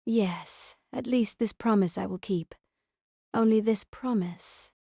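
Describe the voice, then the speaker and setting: A woman's voice: medium pitch, slow, dark tone, dry recording, clean studio sound. A woman playing a solemn, wounded young knight spirit in an audio drama, earnest and resolute. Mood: resolute sorrow.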